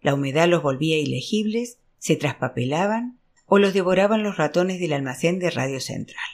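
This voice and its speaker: Audiobook narrator, mid-range pitch, scripted literary reading, novel narration. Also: lively intonation, moderate pace. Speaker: audiobook reader.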